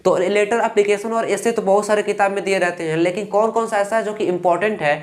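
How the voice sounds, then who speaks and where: A young man with an eastern Uttar Pradesh Hindi accent teaching a lesson, reading English lines slowly and carefully. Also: sits high, fairly even intonation. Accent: Hindi speaker from eastern Uttar Pradesh. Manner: slow and careful when reading English lines, fillers "ठीक" between steps. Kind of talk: explaining a lesson.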